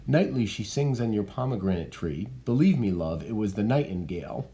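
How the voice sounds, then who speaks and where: A man reading aloud the lines of an urgent young woman who begs her lover to stay, pitching his voice up. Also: animated, normal speed, warm tone. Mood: pleading. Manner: urgent, insistent pleading.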